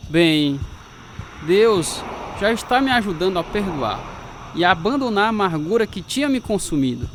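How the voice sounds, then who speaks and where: An actor with a Brazilian accent playing an earnest, reformed young sailor full of gratitude in a radio drama. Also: male voice, high-pitched, sing-song, normal speed, noisy background. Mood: reflective.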